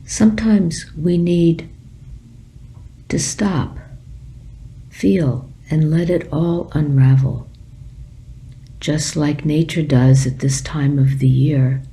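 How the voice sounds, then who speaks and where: A woman leading a guided meditation as a teacher, speaking gently and leaving long pauses. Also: deep voice, steady tone, rough recording.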